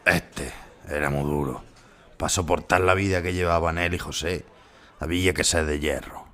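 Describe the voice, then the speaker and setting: An actor playing an old retired bullfighter, blunt and wry, in an audio drama. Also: male voice, deep voice, fairly even intonation, moderate pace, sharp tone. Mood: admiring.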